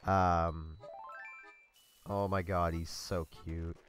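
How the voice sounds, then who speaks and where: A stuffed-up man talks at his home gaming setup, his fairly low voice rambling along between ums and little laughs. It is loose, casual gameplay commentary into a desk mic. Faint music plays underneath. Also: little pitch movement, drawn-out words, fairly bright tone. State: congested. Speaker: let's play host.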